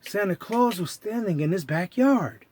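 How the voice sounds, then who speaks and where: A man reading a story aloud to children in an animated, theatrical storytelling style. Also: high-pitched, a little slow.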